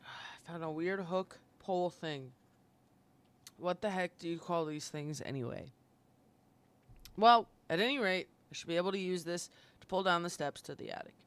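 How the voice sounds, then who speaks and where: A woman live-streaming a game with casual, sweary commentary and expressive reactions. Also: fairly low pitch, normal speed, clean tone.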